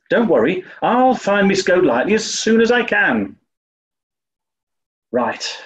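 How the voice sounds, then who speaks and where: A man's voice: high-pitched, background noise. A male actor as a dim, snobbish upper-class English toff from a 1940s radio play. He dithers pompously, his voice swooping widely, on a slightly dull recording. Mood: puzzled, concerned. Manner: flustered, pompous, hesitant. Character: dim-witted, snobbish English gentleman, bumbling amateur sleuth.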